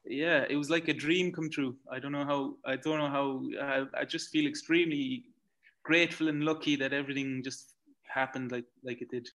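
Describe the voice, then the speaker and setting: A man from Belgium with an Irish accent, a rock climber being interviewed, talking in halting bursts full of repeats and "yeah". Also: mid-range voice, steady tone, a bit bright. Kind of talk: casual interview chat.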